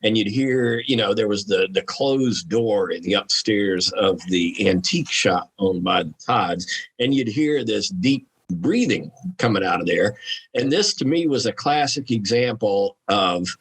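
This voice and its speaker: A man's voice: on the low side, unhurried, husky, rough recording. A man talking casually and frankly as a podcast guest, with salty, informal phrasing. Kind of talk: casual conversational commentary.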